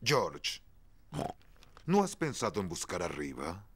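A man's voice: sits low, wildly swooping pitch, slow pace, slight background noise, exaggerated voice. A man playing an easygoing, good-humoured middle-aged father pig in a children's cartoon. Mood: gentle.

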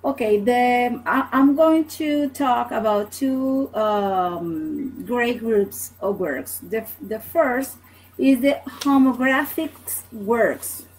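A middle-aged woman from Venezuela with a Venezuelan Spanish accent, a native Spanish speaker teaching an online language lesson in a halting, hesitant way. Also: a little high, animated, darkish tone, low-quality recording. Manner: halting, hesitant, repeats words. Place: small home office, indoors. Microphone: computer webcam at desk distance.

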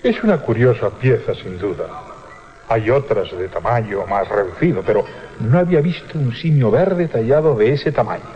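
A man's voice: medium pitch, expressive, normal speed, natural voice. An actor from a Spanish radio drama playing a curious, shrewd male merchant. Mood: appraising. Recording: muffled 1980s radio drama recording.

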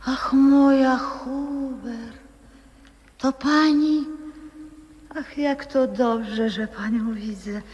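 A woman's voice: sits high, drawn-out words, pauses often, darkish tone, slightly rough, big-room sound, lo-fi sound, exaggerated voice. An actress playing a frail old grandmother and former stage singer in a 1960s radio play, dreamy and often whispering. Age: older.

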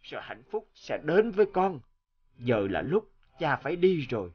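Slow, tender old father. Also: fairly high pitch, very animated, breathy edge, lo-fi sound. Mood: tender, solemn. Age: older. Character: gentle dying old father ghost, blessing his youngest son. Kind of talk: cartoon fairy tale dubbing.

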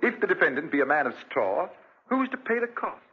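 An actor playing a polite, uneasy, deferential lawyer in a 1940s radio drama, speaking in a halting, courteous way. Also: male voice, on the high side, sing-song, husky. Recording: muffled, noisy vintage 1940s radio recording.

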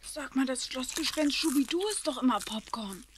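A woman's voice: high-pitched, moderate pace, close to an everyday voice. A woman playing an imaginative, chatty young schoolgirl in a children's radio play, with faint hiss behind. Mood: eager.

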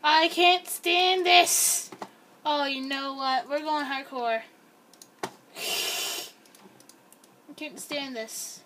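A woman's voice: high-pitched, fairly even intonation, very slow, pauses often, background noise. A young woman chatting casually and rambling while she plays a game, filmed by a camera pointed at a screen. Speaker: gameplay video host. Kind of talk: casual chat while playing. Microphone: camera filming a screen.

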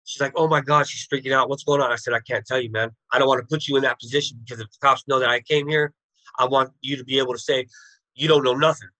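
A man's voice: mid-range voice, steady tone, fast talker, pushed and bright, dry recording, low-quality recording. A man in his thirties, a guest on a video call, bluntly recounting his past in plain street slang. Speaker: interview guest. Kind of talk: telling his life story.

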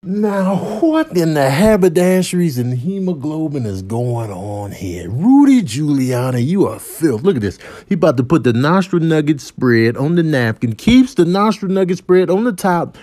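A man delivers comic voiceover commentary with mock outrage. His voice is fairly high and very animated, full of wordy slang. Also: normal speed, hardly any pauses.

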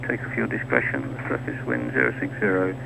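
A dull, noisy aviation radio carries a man's low voice. He is an airfield operator giving procedural traffic information with a gravelly creak. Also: sing-song, fairly quick. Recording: dull radio transmission under engine noise.

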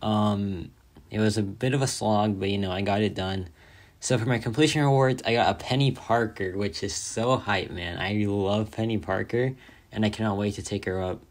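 A young man with a raspy, hoarse voice, tired from a long day of talking, chatting excitedly and rambling over his game rewards. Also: on the low side, moderate pace. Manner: excited, rambling, says "like" often. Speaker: mobile game content creator. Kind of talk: casual gameplay commentary.

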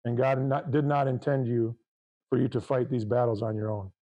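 A man preaching a sermon to his congregation, reading scripture aloud in a loud, fervent voice. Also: mid-range voice, fairly even intonation, moderate pace, dull audio. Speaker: pastor.

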